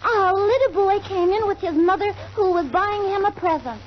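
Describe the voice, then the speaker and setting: Tiny squeaky high rag doll girl, sweet n plaintive, old 40s kids radio, dull sound. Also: normal speed. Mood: eager, fretful. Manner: exclamatory, plaintive, gushing. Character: sweet, childlike, lovable rag doll girl, easily upset. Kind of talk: 1940s children's radio acting. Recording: muffled, noisy 1940s radio recording.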